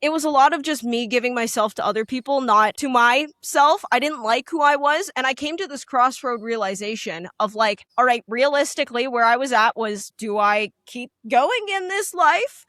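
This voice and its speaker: A young woman from Canada with a high, loud, piercing voice talks candidly, rambling through plenty of fillers. Her voice sits close and dry, with no room around it. She is a musician who is a guest in a relaxed interview. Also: moderate pace, hardly any pauses, no echo. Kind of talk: casual interview chat.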